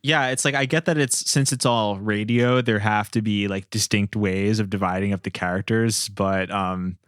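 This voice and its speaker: A man's voice: on the low side, fairly even intonation, moderate pace, fairly bright tone, clean tone, no echo, studio quality. A man hosting a podcast, talking in a casual, conversational way. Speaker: podcast host.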